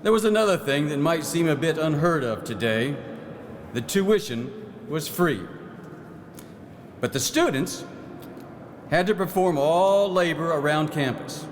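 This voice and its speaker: An actor playing a proud, folksy 1909 farm-minded legislator who reminisces in a plain-spoken theatrical monologue. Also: male voice, on the high side. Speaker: actor in historical re-enactment. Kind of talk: theatrical first-person historical monologue. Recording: echoey hall, clear background noise.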